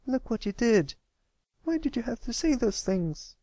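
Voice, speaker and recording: An earnest young pony filly from a storybook, put on by one reader, with a very high, lifted voice. She is eager, caring and full of questions, recorded dry. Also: big pitch swings, unhurried, warm tone, no room sound. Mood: alarmed. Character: concerned, curious young filly, loyal friend. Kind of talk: acted fan fiction reading.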